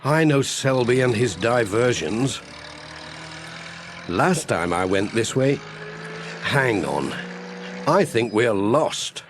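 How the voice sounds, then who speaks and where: A man plays a friendly village postman from a children's audiobook. He chats and muses to himself with plenty of rise and fall, at an unhurried pace. There is a little noise on the recording. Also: mid-range voice, very animated. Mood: exasperated. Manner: cheerful musing, talks to himself. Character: friendly, chatty village postman, muttering to his cat. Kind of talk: children's audiobook character acting.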